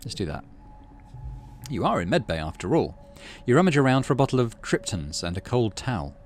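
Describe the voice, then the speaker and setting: A man's voice: medium pitch, sing-song, fairly quick, a little hiss or hum. A middle-aged man playing through a game, chatting casually and reading its narration aloud. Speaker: gaming channel host, player.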